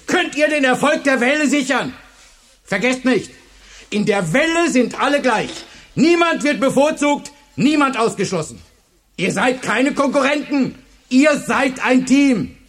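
Charismatic teacher, very high voice, slightly rough, commanding, rhetorical, radio drama. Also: male voice, a bit bright, full character voice. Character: charismatic, fervent male high school teacher. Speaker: actor in a radio play. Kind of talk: German radio drama acting.

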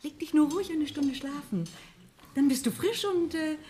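A woman's voice: high-pitched, normal speed, warm tone, a little hiss or hum, close to an everyday voice. A woman playing a worldly middle-aged aunt in a radio drama, motherly yet snobbish, with affected French and English phrases. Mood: kindly, sociable.

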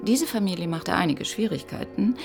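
An actress playing a composed, worldly storyteller in a literary radio drama, deliberate and poised, with a gravelly, husky voice. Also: female voice, mid-range voice, animated, music in the background. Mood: dry, deliberate. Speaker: narrator actor in a radio play.

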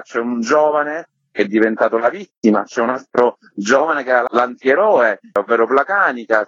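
A man's voice: mid-range voice, fairly bright tone, no room sound, dull audio. A man speaking in an earnest, explanatory way, as a theatre maker being interviewed. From Sicily, Italy. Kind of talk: thoughtful interview talk.

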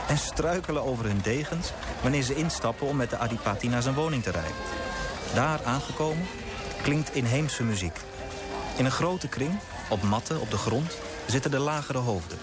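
A man's voice: medium pitch, lively intonation, normal speed, natural voice. A man playing an earnest young storyteller who narrates a colonial tale vividly in a radio play. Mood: vivid, measured. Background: music under the voice.

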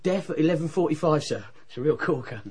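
A cheeky young page boy played by a man in a radio play. He talks fast in a fairly high voice, eager and naive, with colloquial turns of phrase. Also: a little mellow, natural voice. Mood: eager, deferential. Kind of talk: radio drama acting.

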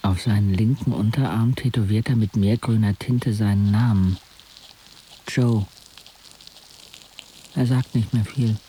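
A man's voice: on the low side, steady tone, measured pace, long pauses, warm tone, slight background noise, close to an everyday voice. An actor playing a brooding, disillusioned young man who narrates his life in an introspective, sardonic way for a radio drama. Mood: bitter, resigned. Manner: introspective, sardonic, literary phrasing.

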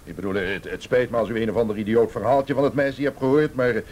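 A man's voice: mid-range voice, fairly even intonation, quick pace, a little mellow, slight background noise, natural voice. An actor in a radio play voicing a defensive businessman, indignant and evasive, stammering under pressure. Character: defensive, blustering businessman, a stepfather accused by police. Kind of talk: naturalistic radio play acting.

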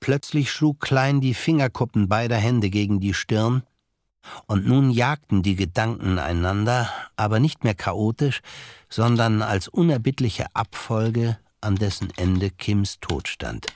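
A man narrating a crime radio play, reading scripted literary prose in a measured storytelling way. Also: fairly low pitch, a little mellow, dry recording, clean studio sound.

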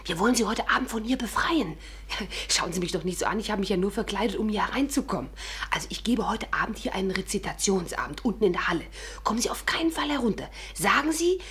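A woman playing an urgent, resolute undercover conspirator in a radio drama, giving instructions in a breathy half-whisper. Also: mid-range voice, expressive, fast talker. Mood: urgent, secretive. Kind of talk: 1950s German radio drama acting.

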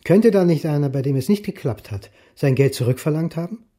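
An actor playing a brooding, dogged history lecturer turned amateur sleuth in a radio drama, terse, pensive and probing. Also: male voice, on the high side, expressive, fairly quick, mellow, no room sound. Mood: probing, insistent.

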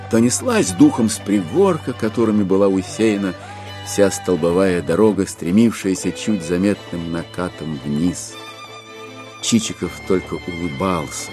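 A male narrator with a fairly low voice delivers classic prose as theatre. His intonation swings widely in a rhetorical, expressive style, with faint music behind him. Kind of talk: dramatic literary narration in an audio play.

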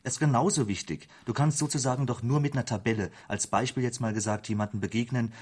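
A man with a husky voice of ordinary pitch takes part in a loose, unscripted intellectual debate. He is insistent and argumentative, pressing his point as he goes. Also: quick pace, darkish tone. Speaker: discussion participant.

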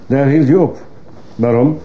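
A man's voice: mid-range voice, a little slow, close to an everyday voice. A man playing a stern, probing judge who fires insistent questions in a radio courtroom drama. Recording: muffled, hissy old radio play recording.

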